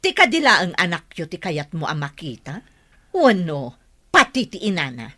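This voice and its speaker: A woman's voice: lowish voice, very animated, normal speed, bright, forceful tone, heavily acted. A woman acting in a radio drama as a jealous, indignant woman who confronts her man. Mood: furious.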